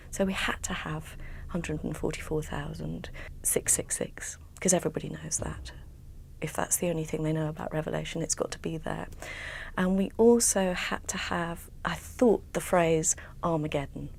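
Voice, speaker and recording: A woman's voice: deep voice, vocal fry, a little mellow, slight background noise. A woman talking in an interview as an artist explaining her work. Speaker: sound artist and composer. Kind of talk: interview talk.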